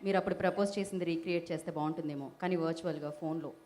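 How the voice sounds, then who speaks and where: A woman's voice: sits low, steady tone, very fast, a little mellow. A woman hosting a live film event, chatty and full of teasing, playful banter. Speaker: event anchor.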